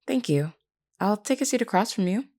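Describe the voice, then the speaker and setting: A woman playing a gentle, courteous vampire queen in an intimate audio roleplay, speaking politely and reassuringly. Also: mid-range voice, animated, a little fast. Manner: polite, soft-spoken reassurance with hesitant asides.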